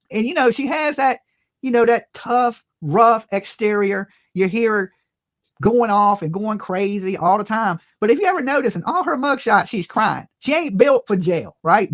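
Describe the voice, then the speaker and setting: Opinionated commentator voice, mid pitched, casual and sweary, keeps punching words and tagging questions on. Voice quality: colloquial, salty, profane speech. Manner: emphatic, informal, repeatedly tags phrases with "right" and "okay". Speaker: commentary channel host.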